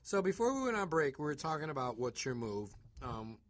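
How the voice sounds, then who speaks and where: Man in his thirties, slightly high voice, animated melody, radio host, casual banter. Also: brisk, a crowd in the background. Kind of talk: casual group chat.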